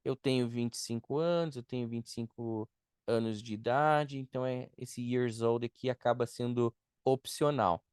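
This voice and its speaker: A man's voice: mid-range voice, normal speed, a little mellow, clear, resonant tone, no room sound, clean studio sound. A Brazilian man, a native Portuguese speaker, teaching a beginner language lesson step by step, repeating items and checking that students follow. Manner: didactic, step-by-step with repetition and check-in tags. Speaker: English teacher.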